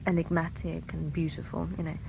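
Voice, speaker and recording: A woman's voice: low-pitched, completely flat delivery, a little fast, vocal fry, poor audio. A woman chatting casually as an interviewed actor, with a dry, playful way of talking.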